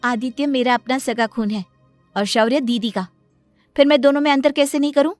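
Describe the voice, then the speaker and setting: तीखी ज़ुबान वाली कड़वी सौतेली माँ महिला के अभिनय में. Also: female voice, high-pitched, a little fast, a little mellow, no echo, soft background music, voice pushed up, close to an everyday voice. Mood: defiant. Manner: scolding, scornful. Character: bitter, sharp-tongued young stepmother in a cartoon story.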